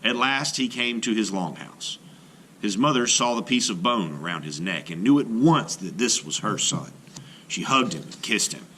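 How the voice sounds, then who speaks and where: Guy reading a kids legend aloud, lowish voice, really animated, dramatic phrasing. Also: moderate pace, a little hiss or hum. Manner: dramatic, carefully phrased storytelling. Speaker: storyteller. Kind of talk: expressive children's read-aloud narration.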